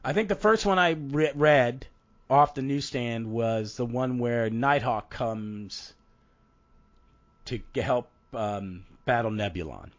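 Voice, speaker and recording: A man's voice: medium pitch, expressive, slow, highs cut off. A man in his fifties hosting a casual podcast, his voice froggy and congested from a head cold, rambling and cutting himself off mid-thought. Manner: rambling, self-interrupting. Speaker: podcast host.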